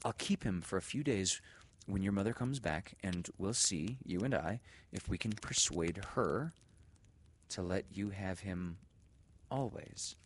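A man putting on a gentle woman schoolteacher. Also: low voice, animated, a little slow, a little hiss or hum.

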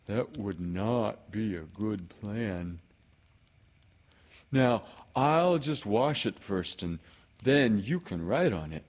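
Man voicing an earnest, slow-talking storybook bear. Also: lowish voice, expressive. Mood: polite, impressed. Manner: slow, earnest, simple-minded delivery. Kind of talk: storybook character voice in a read-aloud.

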